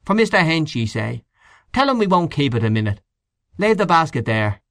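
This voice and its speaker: A man's voice: fairly high pitch, sing-song, moderate pace, a bit bright, no room sound, voice pushed up. Man voicing a brash, teasing canvasser. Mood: cheerful. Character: boastful, jovial middle-aged male political canvasser.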